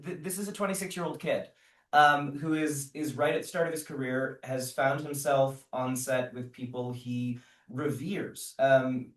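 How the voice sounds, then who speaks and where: Echoey, distant man, reflective interview talk. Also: medium pitch. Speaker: stage actor being interviewed. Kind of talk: casual thoughtful interview talk. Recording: echoey room, distant microphone.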